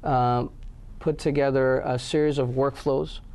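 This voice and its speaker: Gravelly-voiced man, halting speech. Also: medium pitch, fairly even intonation, a little mellow. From Pakistan. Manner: hesitant, many fillers and restarts. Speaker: guest, company head. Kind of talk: interview answers.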